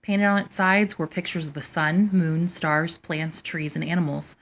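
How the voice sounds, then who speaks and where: A woman hosting storytime, reading a children's picture book aloud in a measured, expressive way. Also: low voice. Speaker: storytime host and reader.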